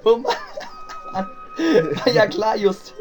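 An excitable boy detective acted by a man in an audio drama parody. He teases sarcastically with a widely swinging pitch. There is music behind him. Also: drawn-out words, exaggerated voice. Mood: giggly teasing. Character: teasing, excitable teenage boy detective sidekick.

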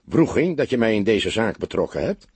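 A man's voice: fairly low pitch, very animated, brisk, darkish tone, slightly rough, no echo, heavily acted. A man voicing a seasoned, kindly older police detective in an audiobook, with a wry, fatherly, assured manner. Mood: fatherly, teasing.

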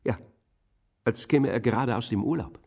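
An actor playing a terse, guarded old pensioner questioned as a neighbour in a German radio drama. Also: male voice, medium pitch, normal speed, gravelly, a little mellow, breathy edge. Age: older. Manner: terse, guarded, matter-of-fact answers. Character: reticent old pensioner, a murdered man's nosy neighbour.

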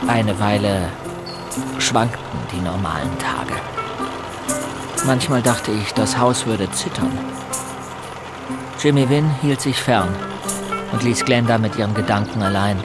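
A man's voice: on the low side, measured pace, pauses often, close to an everyday voice. An actor playing a teenage boy who narrates grim events in the first person in a radio drama, sounding unsettled. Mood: brooding. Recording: loud music bed under the voice.

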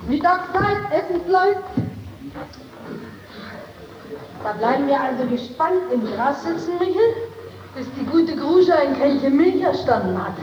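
An actress playing a plucky, sharp-tongued young maid in projected 1950s German stage style, on an echoey, noisy old tape. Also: female voice, high-pitched, lively intonation, moderate pace, far from the mic, highs cut off. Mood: bright, coaxing.

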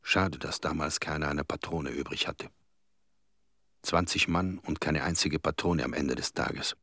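A man's voice: very deep voice, measured pace, fairly bright tone, dry recording, clean studio sound, full character voice. An actor playing a grim hunter from a radiation zone, telling his testimony tersely in a radio play. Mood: haunted regret.

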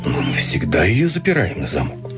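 A man's voice: low-pitched, wildly swooping pitch, moderate pace, over music. A man playing a prim, flustered church priest in a radio play, protesting indignantly.

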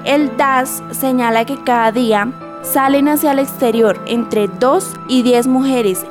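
A woman narrator reads a scripted informational report. Her voice is fairly high, with clear music behind it. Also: unhurried.

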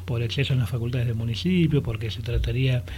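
A man's voice: mid-range voice, fast talker, a little hiss or hum. Porteño guy on a radio show, lawyer talking through a court case, lots of "eh" and "este", regular mid voice. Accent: Buenos Aires porteño. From Argentina. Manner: relaxed, explanatory, with many fillers and self-corrections. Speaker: lawyer and interview guest. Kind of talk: radio interview. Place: radio studio.

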